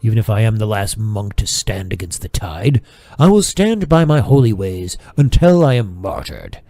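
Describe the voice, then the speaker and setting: Man acting a scheming, self-righteous friar, mellow voice. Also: on the low side, big pitch swings, moderate pace, dry recording, clean studio sound. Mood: smug, self-righteous. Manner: pious, self-justifying, formal wording. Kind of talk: audio drama acting.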